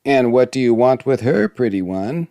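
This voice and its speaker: A man's voice: mid-range voice, expressive, moderate pace, mellow, dry recording, pitched above the performer's own voice, lightly acted. Malicious storybook dwarf, male reader: self-important, needling, boastful suitor, close dry sound. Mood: smug, coaxing. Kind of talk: fairy tale storybook reading.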